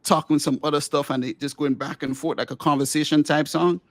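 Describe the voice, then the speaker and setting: A middle-aged man from Grenada with a light, somewhat squeaky voice, recorded close and dry with no room sound. He hosts the chat with eager, rambling talk and often starts over mid-sentence. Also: steady tone, brisk, no room sound. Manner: enthusiastic, rambling, many restarts. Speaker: podcast host and musician. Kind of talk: casual podcast interview.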